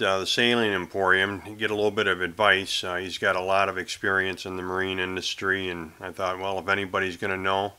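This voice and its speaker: A man with a low, forceful voice hosts a hands-on boating tutorial. His delivery is casual and explanatory, with a bright edge to the tone. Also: clear, resonant tone. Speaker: sailor presenting his own boat installation video. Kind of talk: how-to narration with casual demonstration.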